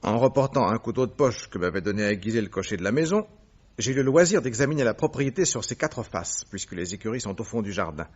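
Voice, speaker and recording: A man's voice: lowish voice, animated, quick pace, slightly rough, highs cut off. An actor playing a witty, eager male detective who tells things playfully and vividly in a French radio drama. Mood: composed, sly.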